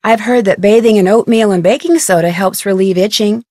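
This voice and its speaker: A woman voicing a plucky, polite young amateur detective in a video game, with an American accent and clear, articulate delivery. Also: medium pitch, brisk, warm tone, dry recording, clean studio sound, natural voice. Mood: friendly, casual. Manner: clear, articulate, friendly direct address. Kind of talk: scripted video game dialogue and tutorial narration.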